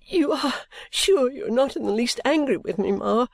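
A woman voicing an affectionate, tearful young bride in an audiobook, pleading and halting with emotion. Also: fairly high pitch, expressive, gravelly, no room sound, pitched above the performer's own voice. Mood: anxious, pleading. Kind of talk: audiobook character acting.

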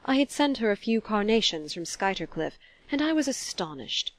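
A woman reading a storybook as a shy, complacent elderly gentleman, formal, mild and hesitant. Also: mid-range voice, very animated, moderate pace, pitched above the performer's own voice, lightly acted.

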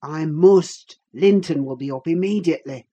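A woman voicing a fierce, passionate man, a desperate lover in an audiobook novel, urgent and forceful. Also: sits low, steady tone, slow. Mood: urgent pleading.

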